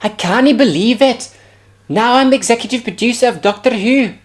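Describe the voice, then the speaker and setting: A man's voice: very high voice, normal speed, pitched above the performer's own voice. A man voicing a pleased, self-important female TV executive in an amateur parody, with a chirpy manner.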